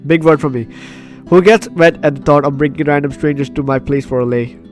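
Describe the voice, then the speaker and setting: A man's voice: a little high, brisk, a little mellow, soft background music. A man hosting a casual let's play, reading game text aloud with commentary and often stumbling over and repeating phrases. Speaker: gaming video host.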